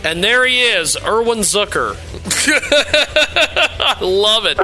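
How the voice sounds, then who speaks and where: A man hosting a live internet radio show, welcoming his guest with enthusiasm, quick laughs and effusive praise. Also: high voice, sing-song, over music, rough recording.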